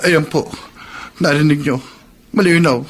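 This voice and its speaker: An actor playing an earnest young Filipino boyfriend in a radio drama, pleading his innocence politely in a husky voice. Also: a little high, measured pace, soft background music.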